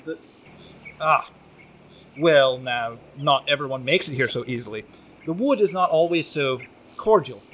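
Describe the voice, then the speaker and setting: A man playing a gentle, cryptic fairy guardian of a forest in a radio play, with an unhurried, lilting delivery. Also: medium pitch, animated, slight background noise. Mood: calm, cryptic. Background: birdsong, woodland ambience.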